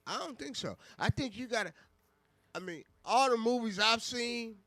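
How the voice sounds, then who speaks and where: A man's voice: extremely high pitch, expressive, moderate pace, pushed and bright, no echo. An American man co-hosting a radio show in casual, informal, slangy conversation. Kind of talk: casual chat.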